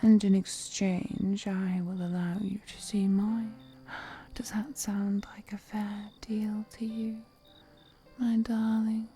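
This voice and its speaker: Woman acting a slow, whispering, seductive ancient vampire lady. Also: medium pitch, flat delivery, warm tone, soft background music, lightly acted. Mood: tender, seductive. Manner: breathy whispering, slow, teasing, formal courtly phrasing. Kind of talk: intimate roleplay audio acting, mostly whispered.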